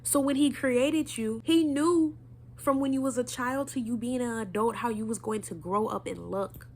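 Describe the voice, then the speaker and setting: A woman's voice: on the high side, normal speed, slight background noise. A young woman with a stuffy nose giving an earnest, preachy motivational talk straight to her viewers. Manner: earnest, preachy, direct address. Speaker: vlogger.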